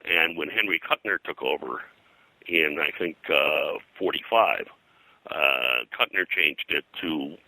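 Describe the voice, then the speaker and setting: Deep, bright-voiced older man reminiscing. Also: no room sound, poor audio. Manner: halting, digressive, detail-heavy recall. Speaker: pop culture historian and comics colorist, guest. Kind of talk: rambling reminiscence in an interview.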